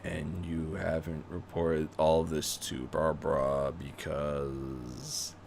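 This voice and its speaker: A narrator doing a stern, gravelly older father. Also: a bass voice, flat delivery, a little mellow, a little hiss or hum, exaggerated voice. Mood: skeptical. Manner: terse, measured, interrogating. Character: stern older man, retired crime-fighting father, gruff and suspicious. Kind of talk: acted fan fiction reading.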